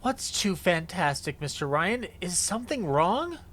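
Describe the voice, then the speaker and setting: A woman's voice: on the low side, big pitch swings, a little slow, a bit bright, heavily acted. A woman playing a deferential, concerned assistant in 1950s radio drama style. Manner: respectful, concerned. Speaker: actor in an audio drama.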